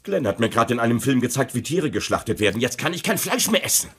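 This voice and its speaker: A man's voice: medium pitch, very animated, fast talker, background noise. A man playing an easygoing, plaintive working-class husband in his forties in a dubbed sitcom. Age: middle-aged. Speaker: actor in a sitcom dub. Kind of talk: German-dubbed sitcom acting.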